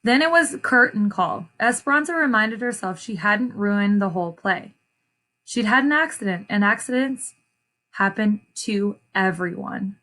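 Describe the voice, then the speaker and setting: A woman reading a children's story aloud as an educator, pausing to ask questions and explain things to young listeners. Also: medium pitch, expressive, sharp tone.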